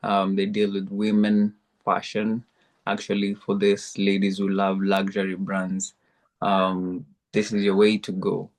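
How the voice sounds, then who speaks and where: Flat-voiced man hosting a podcast. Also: low-pitched, unhurried. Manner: hesitant, many "um"s. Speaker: podcast host. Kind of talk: podcast interview.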